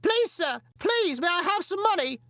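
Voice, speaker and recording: A man's voice: very high voice, animated, normal speed, pushed and bright, dry recording, clean studio sound, voice pushed up, full character voice. A man voicing a little British street child who begs in a whiny, exaggerated way with a mock British accent. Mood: begging. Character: pleading little British urchin child begging for money.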